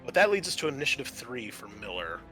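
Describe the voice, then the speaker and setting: A man with a bright, forward voice runs a tabletop roleplaying session as game master. He explains rules and narrates turns conversationally and matter-of-factly, with faint music behind him. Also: medium pitch, very animated, fast talker. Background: background music.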